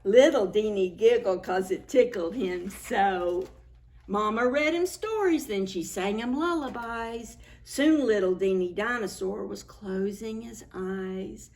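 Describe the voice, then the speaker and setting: A woman hosting a preschool story time, reading picture books aloud in an expressive, sing-song way and speaking directly to children. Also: mid-range voice, slow pace.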